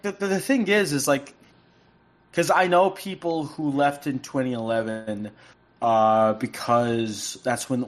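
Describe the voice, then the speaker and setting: A man from the United States, a podcast guest, speaking haltingly with many restarts over remote call audio that cuts in and out. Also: medium pitch. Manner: halting, with frequent restarts and fillers. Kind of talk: casual podcast chat.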